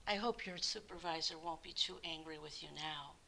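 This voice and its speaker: A woman playing a gentle, friendly, lonely man in a children's story, earnest and expressive. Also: sits low, normal speed, vocal fry, slight background noise, heavily acted. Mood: resigned.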